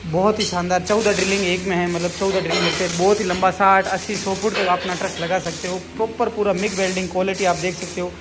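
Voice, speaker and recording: A man giving an improvised, repetitive promotional pitch for his factory's products in running sales patter. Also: steady tone, fast talker, few pauses. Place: welding workshop at a factory. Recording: noisy workshop with hiss and machinery.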